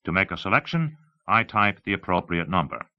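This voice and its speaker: A man's voice: low voice, big pitch swings, brisk. A man narrating an audiobook, voicing an eager, showy salesman with emphatic, carefully enunciated speech in slightly muffled audio. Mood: confident, showy. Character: eager, showy salesman demonstrating voice software to an audience. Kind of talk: audiobook character dialogue.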